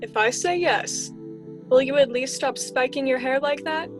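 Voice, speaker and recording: Lady doing fussy sun god dad in a radio play, high voice, theatrical, music under it. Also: normal speed, close to an everyday voice. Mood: exasperated pleading. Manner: exasperated, theatrical parental fussing. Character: fretful sun-god father scolding his teenage son. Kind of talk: amateur student radio drama acting.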